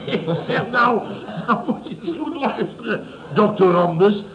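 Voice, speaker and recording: An actor playing a scornful, blustering fifty-year-old building contractor boss in an old radio comedy, mocking and repeating himself. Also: male voice, high voice, lively intonation, measured pace, gravelly, crowd noise, exaggerated voice. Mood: indignant storytelling. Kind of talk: Dutch radio comedy acting around 1970. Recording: dull, noisy old radio recording.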